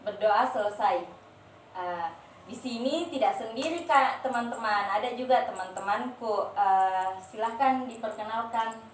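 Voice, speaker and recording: A young woman guides a group therapy role-play, explaining rules step by step. She often pauses and hesitates between phrases. The sound is distant and reverberant, with faint noise behind. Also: a little high. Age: young adult. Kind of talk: guiding a role-play session.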